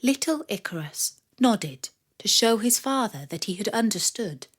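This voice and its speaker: A woman narrating a children's story from a script with expressive, dramatic delivery. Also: medium pitch, measured pace, slightly rough.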